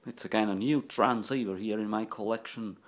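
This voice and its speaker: A man informally demonstrating equipment in short, halting phrases. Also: lowish voice, steady tone. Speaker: radio collector and presenter. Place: indoors at a desk. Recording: noisy room with radio static.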